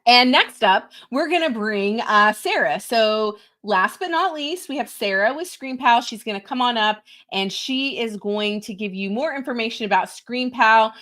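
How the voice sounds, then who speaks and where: A woman's voice: on the high side, lively intonation, moderate pace, hardly any pauses, a bit bright. A woman from West Texas hosts a live online session, her Texan accent coming through in a chatty, upbeat delivery. She laughs easily as she talks to guests over a video call. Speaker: host. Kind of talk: live webinar hosting.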